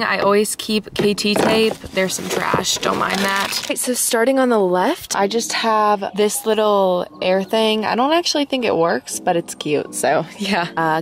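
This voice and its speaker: A woman's voice: mid-range voice, fairly bright tone. Chatty young woman vlogging. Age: young adult. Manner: chatty, bubbly, giggly. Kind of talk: casual car tour vlog. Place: inside a parked car. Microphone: handheld phone camera.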